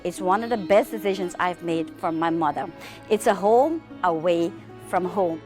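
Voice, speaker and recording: A woman's voice: on the low side, moderate pace. A woman speaking in the style of a personal testimonial for an advertisement. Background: soft sitar music.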